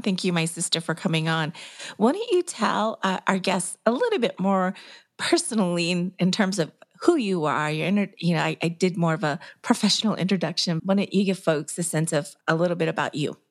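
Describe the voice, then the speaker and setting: A woman with a slightly low voice works as an interview host. She talks conversationally to her guest and listeners, and her manner is openly affirming and effusive. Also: lively intonation, normal speed.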